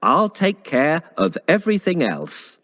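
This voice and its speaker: A woman voicing a clever, eager male talking cat in a children's storybook, in an expressive, theatrical way. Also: fairly low pitch, a little slow, no echo, heavily acted. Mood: confident, scheming. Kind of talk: children's storybook character acting.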